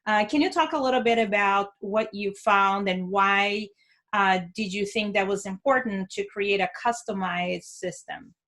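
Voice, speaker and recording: A woman's voice: mid-range voice, fairly bright tone. Woman host asking winding questions. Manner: measured, asks long, winding questions. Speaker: interview host. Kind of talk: conversational interview questions.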